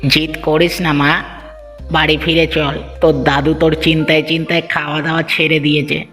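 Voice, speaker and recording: A man voicing a worried, pleading village uncle, earnest and animated, in a moral-story cartoon. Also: fairly high pitch, a little fast, natural voice. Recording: long hall reverb, music underneath.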